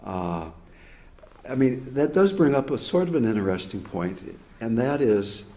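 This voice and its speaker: A man leading a meditation workshop, talking to a group in a low, easy voice. He pauses often and lets his thoughts wander. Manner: slow, reflective, low relaxed voice. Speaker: meditation teacher.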